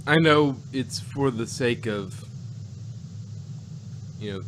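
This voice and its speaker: A man co-hosting a casual review, talking in a rambling, halting way and acting out imagined reactions. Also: medium pitch, a bit bright, a little hiss or hum. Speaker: podcast co-host reviewing audio dramas. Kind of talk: casual unscripted review chat.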